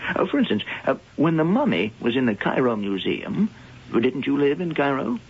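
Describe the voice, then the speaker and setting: An actor playing a suave, secretive male professor who studies cats, smooth and evasive in 1970s radio acting. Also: medium pitch, sing-song, normal speed. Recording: lo-fi old radio broadcast with hiss.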